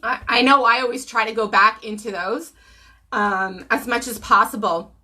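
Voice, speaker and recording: A woman giving a casual live tarot reading. She is hoarse from a cold, clears her throat often, and uses many ums. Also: on the high side, steady tone, normal speed, sharp tone. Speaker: tarot reader.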